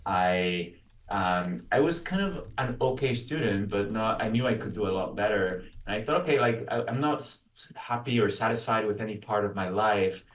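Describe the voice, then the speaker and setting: A low-pitched man, recorded in a roomy space away from the microphone. He tells personal stories casually as an interview guest, often restarting his phrases. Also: moderate pace. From Caracas, Venezuela. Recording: roomy echo, distant from mic.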